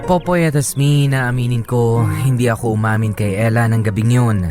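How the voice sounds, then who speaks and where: A man's voice: mid-range voice, fairly even intonation, moderate pace, natural voice. A man playing a remorseful former womanizer, narrating his confession letter earnestly in a radio drama. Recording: music behind the voice.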